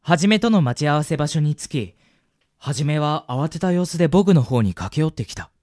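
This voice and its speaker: A young man playing a gentle, sentimental university student in a radio drama, with an earnest, polite manner. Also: a little high, expressive, brisk, dry recording, studio quality, close to an everyday voice. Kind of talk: radio drama acting with narration. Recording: music bed under the voice.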